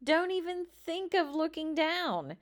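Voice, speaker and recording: A woman voicing a bossy, excitable young girl in a storybook, calling out in an urgent shriek. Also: very high voice, slow, pitched above the performer's own voice, exaggerated voice. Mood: urgent, shrill.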